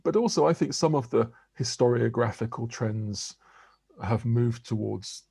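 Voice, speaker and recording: A man in his sixties who grew up in England, an interviewed professor giving articulate, measured answers with occasional restarts. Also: mid-range voice, vocal fry, dark tone, breathy edge, dry recording.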